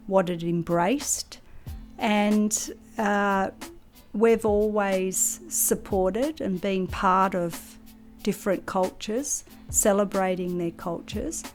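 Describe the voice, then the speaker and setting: Slow-spoken woman, measured. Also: medium pitch, darkish tone. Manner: measured, hesitant with fillers. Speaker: community centre representative. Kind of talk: interview talk.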